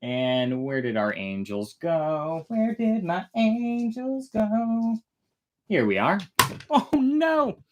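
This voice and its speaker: Casting: casual, irreverent storytelling host, flippant asides, mid-range voice with lively swings. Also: medium pitch, slow pace, highs cut off, background noise. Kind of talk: casual, chatty commentary on a story.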